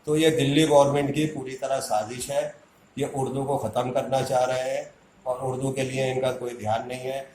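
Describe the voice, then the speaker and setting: A man's voice: medium pitch, level tone, moderate pace, darkish tone, reverberant, a little hiss or hum, poor audio. A man makes an indignant public statement as a community activist, arguing hard and firing off rhetorical questions.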